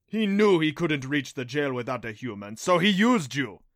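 A man's voice: a little high, big pitch swings, no echo, clean studio sound. Man voicing a gloating, theatrical fanged beast. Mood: jeering. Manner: taunting, mock-sorrowful, drawn-out delivery. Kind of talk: audiobook character voice for a fantasy story.

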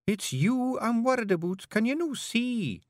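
A man voicing a small, stern female pixie clan leader with a sharp, commanding Scottish voice. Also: a little high, animated, normal speed, no room sound, clean studio sound, pitched above the performer's own voice. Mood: pleading. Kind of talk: audiobook character voice.

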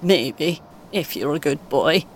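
A woman playing a kindly, encouraging British grandmother in her eighties in an audio play, speaking gently and reassuringly. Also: lively intonation, slow, heavily acted. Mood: warm. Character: kindly, encouraging 81-year-old grandmother on a coach. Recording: clear vehicle rumble noise. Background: vehicle ambience.

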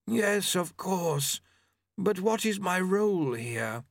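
A man's voice: high-pitched, big pitch swings, slow, gravelly, no room sound, clean studio sound, pitched above the performer's own voice, full character voice. A man voicing Famine, an arrogant, languid horseman of the apocalypse, with an airy, fussy, superior manner in an audiobook. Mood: disdainful, airy.

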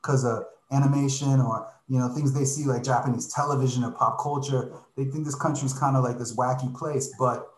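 A man in casual conversation, explaining things in a relaxed way, often adding "like" and "right?". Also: mid-range voice, fairly quick, few pauses. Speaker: podcast guest living abroad. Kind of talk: casual chat. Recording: echoey room.

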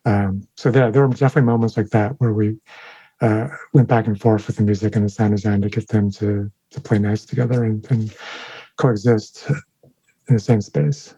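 A man whose first language is Chinese, speaking as a guest in an interview. He talks in a thoughtful, halting way with many fillers, in a mellow, darker tone. The recording sounds dull and lo-fi, with no room echo. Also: on the low side, fairly even intonation, husky. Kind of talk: interview conversation.

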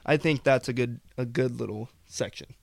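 A man co-hosting a podcast in casual faith discussion, earnest and rambling, his voice going hoarse. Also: mid-range voice, normal speed, a little mellow, dry recording. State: losing his voice, coughing. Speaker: podcast co-host.

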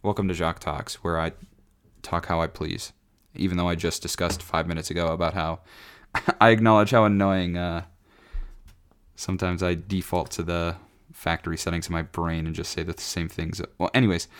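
A man in his twenties hosting his own podcast, rambling casually with lots of fillers and restarts, his voice raspy from singing. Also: sits low, level tone, normal speed. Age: 20s. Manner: rambling, self-correcting, frequent fillers. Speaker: podcast host. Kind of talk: rambling solo podcast chat.

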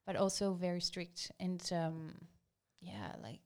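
A woman from Greece, a guest speaking in a warm, reflective, casual way. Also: lowish voice, expressive, takes it slowly. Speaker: guest, hat designer. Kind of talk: casual interview chat.

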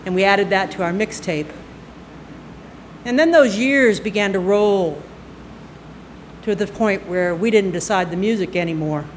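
A woman in her sixties telling a personal story on stage into a microphone, conversational and halting, with fillers and bursts of laughter. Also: mid-range voice, slight background noise, rough recording. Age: 60s. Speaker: storyteller at a live storytelling night. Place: stage at a live event.

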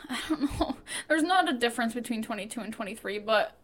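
A woman's voice: on the high side, expressive, brisk, a bit bright. Rambling young woman podcaster. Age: 20s. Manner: rambling and self-mocking, trails off into mumbles. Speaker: podcast co-host. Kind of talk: casual chat.

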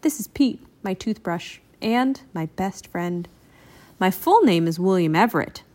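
A woman's voice: mid-range voice, lively intonation, unhurried, darkish tone, natural voice. A woman voicing a young male crocodile, polite, earnest and eager, in a children's storybook.

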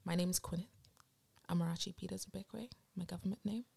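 A woman's voice: on the low side, steady tone, fast talker, vocal fry, dark tone, slightly rough. A young woman from Nigeria co-hosting a podcast, chatting casually and teasing her friend, with frequent laughs. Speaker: podcast co-host. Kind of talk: casual chat.

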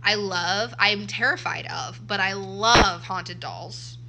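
A woman's voice: mid-range voice, slow, heavy background noise. A woman in her early twenties co-hosting a casual podcast, rambling and correcting herself as she chats. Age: 20s. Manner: rambling, self-correcting, laughs often. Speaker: podcast co-host. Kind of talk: casual chat. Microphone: too close to the mic.

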